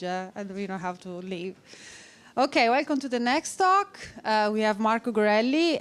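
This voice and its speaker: A woman's voice: medium pitch, clear, resonant tone. A woman hosting a conference session, making brief, friendly announcements into a stage microphone. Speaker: session host. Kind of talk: introducing a conference talk.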